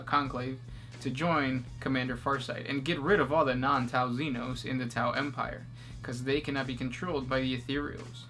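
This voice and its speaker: A man's voice: mid-range voice, bright, forceful tone. A man co-hosting a casual chat, reading out fan questions with easygoing fillers. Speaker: podcast co-host reading questions.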